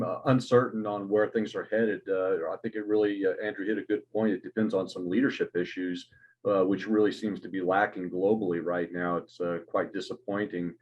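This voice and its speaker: Deep-voiced man on dull call audio. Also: darkish tone. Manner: measured and cautious, frequent "uh". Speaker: uranium company CEO, panelist. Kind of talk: online panel discussion.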